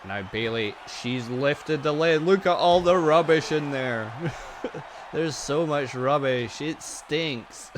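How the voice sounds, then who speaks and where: A man's voice: fairly high pitch, slow, background noise. A man giving casual, chatty play-by-play over a wrestling video game, joking and laughing at the action, his voice a little tired. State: voice getting tired. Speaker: gaming channel host.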